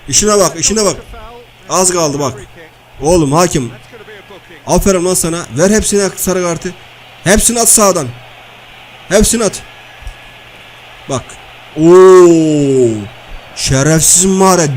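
A man playing a loud, crude, bickering comedy everyman who nags in slangy repeats during cartoon-style video-game banter. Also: high voice, unhurried, long pauses, rough recording. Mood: grumbling, dismissive. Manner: slangy, blustering, nagging, repeats phrases. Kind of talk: comic video-game banter and match commentary.

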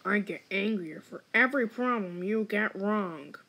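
A woman's voice: medium pitch, unhurried, sharp tone. A woman voicing a strict, easily angered schoolteacher for custom video game voicelines, speaking in stern, separated phrases. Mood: stern, mocking.

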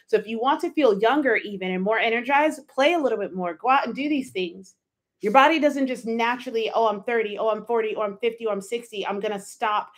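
A woman in her thirties, a life coach hosting her own podcast, talks through a solo episode in an emphatic, animated way, acting out little inner voices as she goes. She speaks into a studio microphone in a home office. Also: on the high side. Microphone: studio mic with pop filter, wearing headphones.

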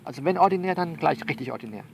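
A man playing a soothing but bossy confidant who coaches someone by phone in an audio drama, halting and repeating himself as he instructs. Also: fairly high pitch, lively intonation, vocal fry, a little hiss or hum, poor audio. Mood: bossy, coaxing. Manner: halting, repetitive, instructive, reassuring. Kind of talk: one-sided phone conversation in an audio novel.

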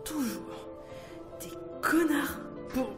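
A woman playing a sharp-tongued, sardonic book editor in an audio drama. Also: sits high, expressive, drawn-out words, heavily acted. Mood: weary, bitter. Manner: cutting, sardonic. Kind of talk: French audio drama acting. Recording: music behind the voice.

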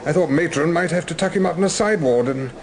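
Actor as theatrical, high-pitched British gentleman scientist, donnish. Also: fast, natural voice. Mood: amused, smug. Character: genial, self-satisfied older gentleman scientist showing off his experiment. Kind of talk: radio drama acting.

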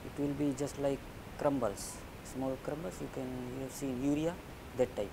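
A middle-aged man from Fiji with a Fijian accent, answering interview questions indoors in a plain, halting, conversational way, sometimes ending a point with "eh?". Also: mid-range voice, fairly even intonation, creaky, noisy background. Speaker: poultry farmer.

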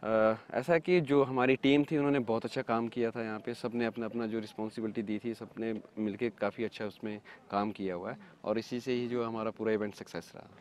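A man from India, a paragliding pilot, answering interview questions in a relaxed, halting way with many fillers. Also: lowish voice, slight background noise.

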